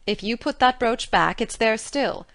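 A woman voicing a strict older female guardian with a sharp, scolding manner, read for an audiobook. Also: on the high side, animated, measured pace, no echo, clean studio sound. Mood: stern. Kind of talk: audiobook character reading.

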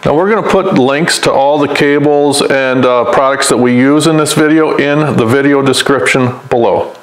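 A man's voice: medium pitch, moderate pace, poor audio. A man in his fifties with an American accent, explaining a DIY install step by step in a plain-spoken, conversational way. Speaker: host of a DIY vehicle install channel. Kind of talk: hands-on tutorial explanation, conversational.

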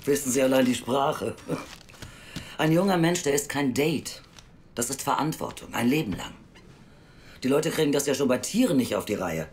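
A woman's voice: a bass voice, expressive, moderate pace, a little mellow, slight background noise. An actress playing a blunt, sceptical, world-weary female youth welfare officer in an audio drama, speaking drily. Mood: weary, stern. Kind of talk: naturalistic audio drama acting.

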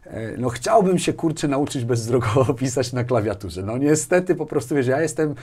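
A man's voice: mid-range voice, animated, moderate pace, darkish tone, breathy edge. Thoughtful man in his forties, hesitant talker. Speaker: interview guest, business manager. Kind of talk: conversational interview. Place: small furnished indoor studio. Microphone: lapel mic.

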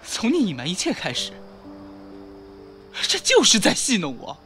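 A bitter, wounded young man in an audio drama, acted by a man, with a highish voice that swings widely in pitch. Music plays clearly behind him. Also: huge pitch swings, moderate pace, sharp tone, heavily acted. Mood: anguished, angry. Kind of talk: Chinese audio drama acting.

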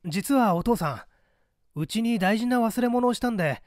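A man's voice: sits high, lively intonation, moderate pace, no echo, studio quality, pitched above the performer's own voice. A man voicing an earnest, cheerful young son, polite and coaxing, in an audiobook story. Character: earnest, cheerful young son asking his father a favour.

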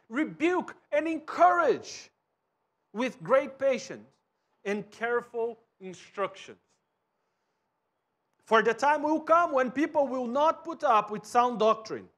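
A Brazilian pastor in his thirties preaches in English with a strong Brazilian Portuguese accent. His voice sits very high for a man. He moves about a church stage, sometimes quiet and sometimes loud. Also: takes it slowly. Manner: animated, wide gestures, swings from soft to loud. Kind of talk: sermon. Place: church stage with cross backdrop and bookshelves.

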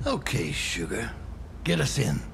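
An actor voicing a cocky, flirtatious cowboy crime boss in a video game, with a gravelly, drawling, slangy delivery. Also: male voice, mid-range voice, wildly swooping pitch, unhurried, fairly bright tone, slight background noise, exaggerated voice. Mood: cool, sly. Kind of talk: cinematic video game acting.

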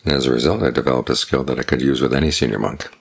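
An older man, a Buddhist monk, giving a calm, measured evening Dhamma talk with unhurried pauses. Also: extremely low pitch, vocal fry, husky. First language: English.

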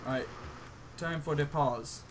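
A young man bantering casually and telling stories in an incredulous, animated way over an online game. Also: on the high side, measured pace, a crowd in the background. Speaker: gaming story host.

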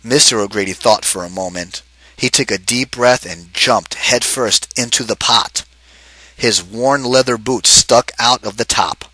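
Bright-voiced man reading to kids. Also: mid-range voice, unhurried, no echo, low-quality recording. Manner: asks the kids questions between pages. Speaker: teacher reading aloud. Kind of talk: interactive read-aloud for children.

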